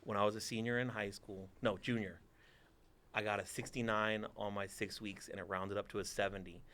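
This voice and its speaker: A man's voice: on the low side, level tone, a little fast, a bit bright. A man in his forties from Texas, a theater teacher answering interview questions with dry, teasing humor.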